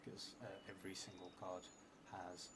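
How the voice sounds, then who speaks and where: Man, thirties, lowish voice, solo game streamer, unhurried, thinking aloud, murmured asides, noisy indoor recording. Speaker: solo tabletop role-playing game streamer. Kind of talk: narrating a solo card game on a live stream. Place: indoor room at a theatre, at a table. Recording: noisy room with steady background noise.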